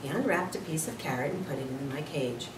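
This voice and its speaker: A woman reading a children's book aloud as an expressive narrator. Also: rumbling low, brisk. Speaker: storybook reader. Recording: echoey room, distant mic, noisy background.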